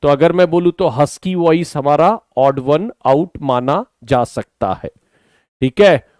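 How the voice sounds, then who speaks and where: A man with an Indian accent, slightly hoarse from a sore throat, patiently explaining English vocabulary in an online class, mixing Hindi and English and urging students to answer. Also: a little high, takes it slowly, a little mellow, dry recording, clean studio sound. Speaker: English teacher.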